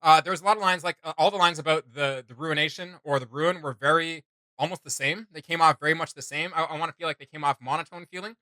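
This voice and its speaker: A man's voice: on the high side, quick pace, sharp tone, no room sound. A man hosting a reaction video, giving casual commentary in a blunt, mocking, slangy way.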